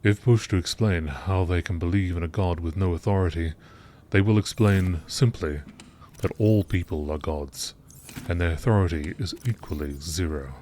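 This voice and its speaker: A man reading scripted lore in a nature documentary narration style, with measured, deliberate phrasing. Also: sits low, dark tone. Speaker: video creator reading game lore aloud. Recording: clearly noisy background.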